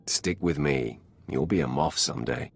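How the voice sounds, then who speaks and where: An actor playing a commanding, sardonic dark lord in a video game, wry and imperious toward those beneath him. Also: male voice, a bass voice, gravelly, husky, heavily acted. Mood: wry, approving. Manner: wry, imperious, measured authority. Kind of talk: video game character acting.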